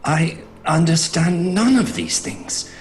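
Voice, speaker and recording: An actor playing a sceptical, fearful doctor in a gothic audio drama, speaking in an earnest, dramatic way. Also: male voice, a little high, animated, slow. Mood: sceptical, uneasy. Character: haunted male doctor, sceptical and fearful, recounting horrors. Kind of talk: gothic audio drama diary narration and dialogue. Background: music.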